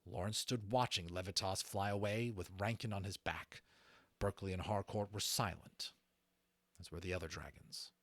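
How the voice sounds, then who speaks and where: A man's voice: deep voice, steady tone, moderate pace, fairly bright tone, no echo. A man reading a novel aloud as an amateur audiobook narrator, telling the story. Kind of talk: scripted story narration with informal asides.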